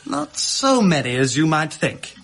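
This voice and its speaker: An actor playing a smooth-talking assistant in a radio drama, coaxing and theatrical. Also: male voice, fairly high pitch, big pitch swings, a little slow, fairly bright tone, exaggerated voice. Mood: confiding. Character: smooth-talking, persuasive, crafty pawnbroker's assistant. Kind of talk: British radio drama acting.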